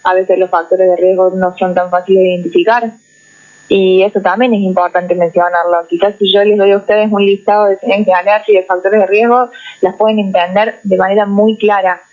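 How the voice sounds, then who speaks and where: A woman psychologist, speaking as a guest in a radio interview, explaining in a measured way and often checking in with "¿no?". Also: mid-range voice, little pitch movement, darkish tone. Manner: measured, explanatory, tags phrases with "¿no?". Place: radio studio. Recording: muffled lo-fi radio recording.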